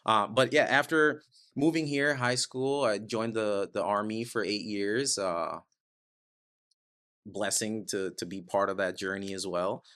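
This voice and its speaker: Man in his 30s, from Guyana, slightly low voice, energetic, laughs a lot, loose rambling talk, podcast guest. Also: measured pace. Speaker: realtor guest. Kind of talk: casual podcast interview.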